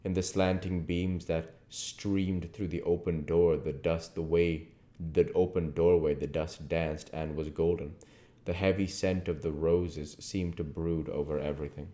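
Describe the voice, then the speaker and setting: A man's voice: deep voice, fairly even intonation, unhurried, warm tone. A man reading aloud as a storyteller and channel host, narrating in prose with the odd stumble. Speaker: audiobook reader and channel host. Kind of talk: novel narration, read aloud.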